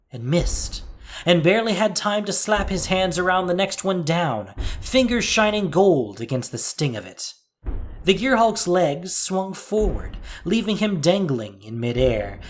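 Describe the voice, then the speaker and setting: A man narrates an audio drama in a dramatic storytelling style. His pitch moves expressively up and down as he reads the scripted action.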